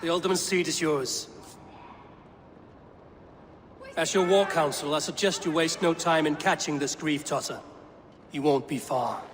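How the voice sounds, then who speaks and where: A man's voice: a little high, normal speed, close to an everyday voice. A man playing a bold, wry Viking warrior in a video game, assured and terse. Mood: steady, wry. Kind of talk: video game cutscene dialogue. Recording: clear outdoor ambient noise behind.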